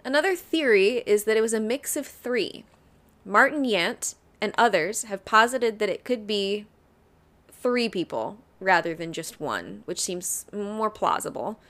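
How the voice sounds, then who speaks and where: A woman's voice: medium pitch, animated, unhurried, fairly bright tone. A woman co-hosting a podcast, telling a true crime case in a chatty way and laughing often. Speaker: podcast co-host. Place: Ohio.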